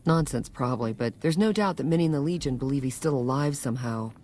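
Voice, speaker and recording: A woman's voice: very deep voice, fast talker, warm tone, slight background noise. A woman playing a guarded, weary slave in a video game. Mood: wary. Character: wary, resigned enslaved woman of a brutal legion. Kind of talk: video game dialogue acting.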